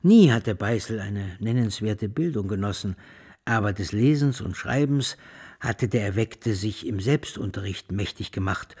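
A man's voice: fairly low pitch, a little mellow, husky, heavily acted. Solemn, fervent male music lecturer. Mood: reverent storytelling. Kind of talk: radio drama lecture, ornate rhetorical delivery.

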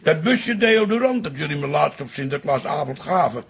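A man's voice: on the high side, expressive, normal speed, gravelly. An actor playing a nosy, blustering old father-in-law in a radio drama, with a blunt, teasing, colloquial way of talking. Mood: chatty, nosy. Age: older.

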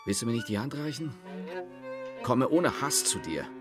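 An actor as a solemn, proud brother come back estranged. His middle-pitched voice moves widely and insistently over bowed strings, in radio-play style. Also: big pitch swings, moderate pace, natural voice. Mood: solemn, confronting. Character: grave, proud estranged brother seeking reconciliation. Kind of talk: German radio drama. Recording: bowed string music behind voice.

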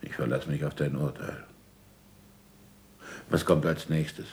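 An actor playing an old, ailing captive scientist, slyly submissive, dictating haltingly with deliberate pauses in a German radio play. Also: male voice, extremely low pitch, fairly even intonation, a little mellow. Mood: dry, sly. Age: older.

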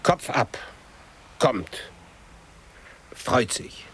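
An actor playing a resigned, forlorn old man in a radio drama, gentle and laconic, speaking in short fragments. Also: medium pitch, very animated, very slow, husky, exaggerated voice. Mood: weary. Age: older.

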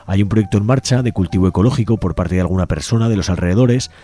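A man presenting a community radio show, reading out a manifesto and describing a project in a measured, slightly halting way. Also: deep voice, mellow. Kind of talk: community radio presentation, partly read aloud.

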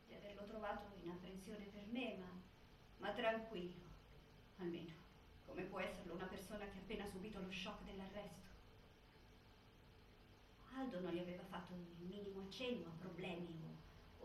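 Casting: actress as worried partner of a prisoner, mid-pitched stage monologue, very echoey with background noise. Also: female voice, steady tone, fairly quick, far from the mic, close to an everyday voice. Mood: concerned. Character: worried woman recounting news of her jailed partner. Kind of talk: theatrical first-person monologue.

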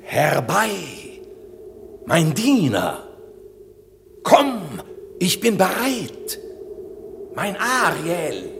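Radio play casting: stern sorcerer master, slow and theatrical, with wide swings of pitch. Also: male voice, sing-song, creaky, a bit bright, a little hiss or hum, full character voice. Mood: summoning. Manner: solemn, imperious, deliberate. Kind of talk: classic German radio drama, theatrical verse delivery.

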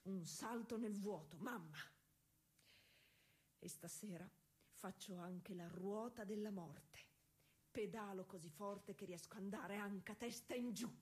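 An actress playing a proud, eager young woman racing cyclist of the early 1900s in a conversational, insistent stage monologue, with a husky voice. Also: medium pitch, unhurried, darkish tone, slight background noise, natural voice. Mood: proud, boastful. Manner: explanatory, conversational, insistent with repeated checks. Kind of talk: theatrical monologue addressed to an unseen listener.

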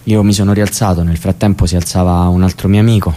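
An actor playing a male prisoner recalling a night with his cellmate, in a plain, halting way, in a radio drama. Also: deep voice, steady tone, dark tone, close to an everyday voice. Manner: plain, halting spoken recollection. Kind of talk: theatrical radio drama monologue. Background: music.

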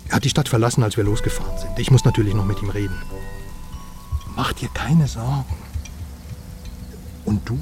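A man's voice: on the low side, normal speed, long pauses, music in the background, lightly acted. A man playing a detective's chatty, irreverent friend in a crime radio drama, talking in a wry, breezy, colloquial way. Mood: casual, explaining.

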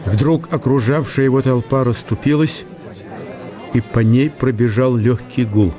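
A man's voice: mid-range voice, measured pace, dark tone, soft background music, a faint crowd, lightly acted. A man narrating a historical radio play as dramatic literary storytelling.

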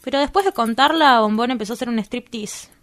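A woman's voice: fairly high pitch, fast, a bit bright, faint music behind, close to an everyday voice. A woman with an Argentine accent playing a coy, earnest young woman in love in a radio drama. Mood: earnest, storytelling.

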